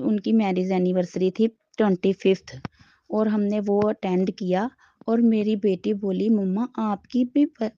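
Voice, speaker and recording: A middle-aged woman with a sore throat shares a personal spiritual testimony, telling it in a slow, devout way with frequent restarts. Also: medium pitch, warm tone, no echo, dull audio. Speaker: devotee sharing a testimony.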